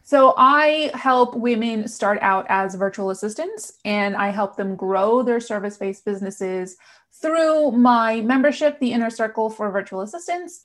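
A woman from New York with a transatlantic New York accent, a podcast guest who is upbeat and laughs often. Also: mid-range voice. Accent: New York American, transatlantic. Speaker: guest, business owner and coach. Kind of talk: casual podcast interview.

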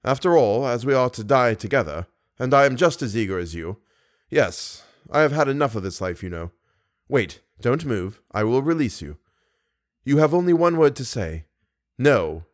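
A man's voice: mid-range voice, animated, normal speed, no echo, clean studio sound, natural voice. A man voicing an unhinged male villain in an audiobook, self-pitying and menacing in a theatrical, erratic manner. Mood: weary, unhinged.

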